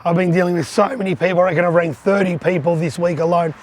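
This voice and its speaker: Hoarse man, voice nearly gone. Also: high voice, steady tone, fast talker. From Australia. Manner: chatty, upbeat, pointing things out. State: lost voice, hoarse. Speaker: vlogger, arcade collector. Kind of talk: casual vlog tour.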